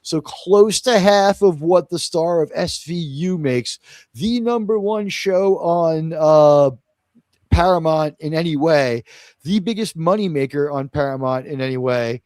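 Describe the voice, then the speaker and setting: A man's voice: a little high, measured pace, a little mellow, dry recording. A man from Queens, New York hosting a live discussion show, blunt and opinionated, swearing freely. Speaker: show host. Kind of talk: casual live panel talk.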